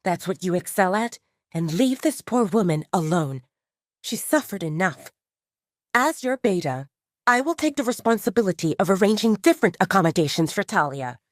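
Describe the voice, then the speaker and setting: A woman voicing a stern, protective female werewolf second-in-command in an audio drama, firm and sharp-tongued. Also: medium pitch, big pitch swings, normal speed, no echo, clean studio sound, close to an everyday voice. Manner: firm, sarcastic.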